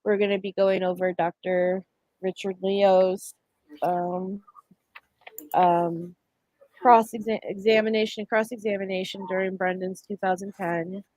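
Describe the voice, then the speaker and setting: A congested woman hosts a casual livestream, her voice dry and close with no room around it. She chats at a medium pitch, full of ums and restarts as she sets up the discussion. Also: little pitch movement, a little slow, darkish tone, no echo, low-quality recording. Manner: chatty, lots of fillers and self-corrections. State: congested from a cold. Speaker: livestream host.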